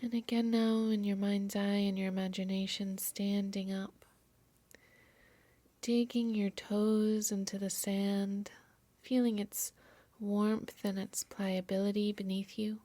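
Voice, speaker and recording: A woman yoga nidra instructor softly narrating a guided meditation in a soothing, measured, breathy voice. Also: mid-range voice, little pitch movement, no room sound. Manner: soft, soothing, measured delivery.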